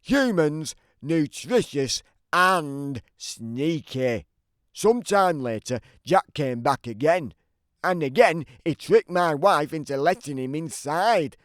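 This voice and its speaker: A man's voice: on the high side, lively intonation, slow pace, no room sound, studio quality. A man voicing a big, grumpy, always-hungry giant who tells his side of a children's storybook in a theatrical, emphatic way. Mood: grumbling storytelling. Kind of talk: scripted children's storybook narration.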